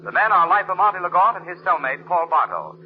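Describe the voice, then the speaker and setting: Rapid, high-pitched 1940s newsreader. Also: male voice, sing-song, a little hiss or hum. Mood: brisk, urgent. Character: brisk, urgent radio news announcer. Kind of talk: 1940s radio news bulletin. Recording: muffled 1940s radio recording.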